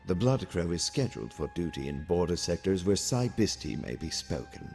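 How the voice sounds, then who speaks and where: A man voicing a calm, calculating alien military officer in formal, precise phrases for a comic dub. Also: low-pitched, soft background music. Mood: dry, composed. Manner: measured, formal, precise wording. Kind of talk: dramatic comic dub acting.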